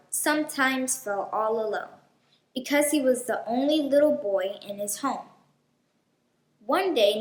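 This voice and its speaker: Slow, high-voiced woman reading to children. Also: roomy sound. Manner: deliberate, expressive storytelling for children. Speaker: host of a children's read-aloud show. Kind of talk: children's storybook read-aloud.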